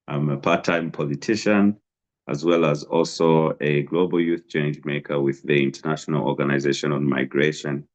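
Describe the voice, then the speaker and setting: A man introducing himself formally as a researcher on an online panel, a little unsure of the format. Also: a bass voice. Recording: dull lo-fi call audio.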